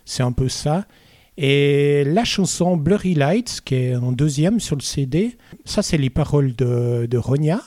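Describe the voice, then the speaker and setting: Brief: musician guest, man, Vaud Swiss accent, mellow, dry close mic, rambling with lots of fillers. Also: on the high side, lively intonation, measured pace, no room sound. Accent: Vaud Swiss French. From Switzerland. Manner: hesitant, many "euh" fillers, chatty storytelling. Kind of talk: casual interview chat.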